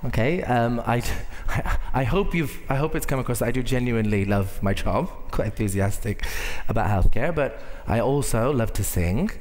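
A man in his thirties performing stand-up comedy on stage, telling stories in an animated way with pauses and asides. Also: mid-range voice. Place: large indoor convention stage. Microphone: headset. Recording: reverberant hall, clear background noise.

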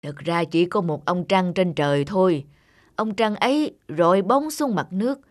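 A woman's voice: fairly low pitch, normal speed, no room sound, clean studio sound, natural voice. A woman voicing a wise, kindly old grandfather monkey in a children's cartoon, patiently instructing. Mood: patient, teaching. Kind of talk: animated children's fairy tale acting.

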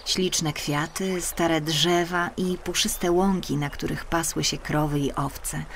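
A woman narrating a scripted children's story in an expressive, careful storytelling manner. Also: sits low, slow, slight background noise. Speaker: audiobook narrator.

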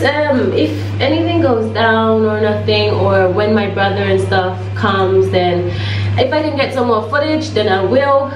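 A woman's voice: medium pitch, recorded from a distance, background noise. Chatty young woman vlogging in an echoey bathroom. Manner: relaxed, chatty, loose with fillers. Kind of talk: casual vlog to camera. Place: small tiled bathroom at a family home. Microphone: handheld camera at arm's length.

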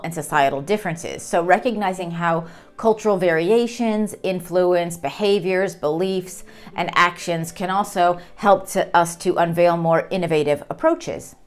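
A woman in her thirties explaining a topic in an educational video, talking clearly and gesturing as she goes. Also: lowish voice. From United States. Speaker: lecturer. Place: small room indoors.

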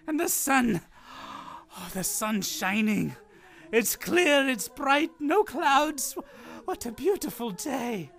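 An actor playing an old male miser in an old-time radio drama, theatrical and emphatic, with dramatic pauses. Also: extremely high pitch, vocal fry, fairly bright tone, heavily acted. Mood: giddy joy.

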